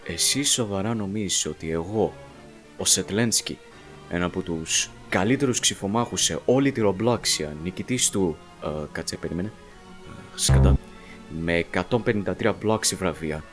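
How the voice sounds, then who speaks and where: A man's voice: sits low, soft background music, lightly acted. A man voicing a cocky, bumbling sword-fighter hero who brags and mocks in an amateur cartoon fandub. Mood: bragging. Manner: bragging, mocking. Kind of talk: amateur animated fandub acting.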